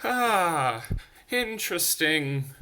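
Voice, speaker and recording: Man voicing a nervous, pompous little gentleman mouse. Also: on the high side, very animated, extremely slow, full character voice. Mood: nervously casual. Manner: trembly, feigned casual politeness.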